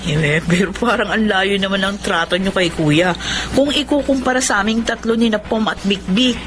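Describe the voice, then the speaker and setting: An actress playing an earnest, sentimental young Filipina daughter in a radio drama, with a Filipino accent. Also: female voice, medium pitch, normal speed, close to an everyday voice. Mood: pleading. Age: young adult. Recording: noisy radio drama recording.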